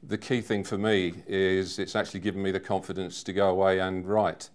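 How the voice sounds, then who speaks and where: Smooth, low-voiced man in his fifties. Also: level tone, a little fast. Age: 50s. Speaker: seminar attendee giving a testimonial. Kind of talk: personal testimonial.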